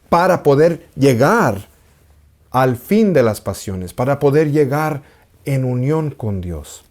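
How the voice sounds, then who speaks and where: A man's voice: mid-range voice, a little mellow. A man teaching as an Orthodox priest, raised in an English-speaking country, speaking earnestly, repeating phrases for emphasis and slipping in English words. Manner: halting, apologetic about his Spanish. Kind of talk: spiritual teaching talk.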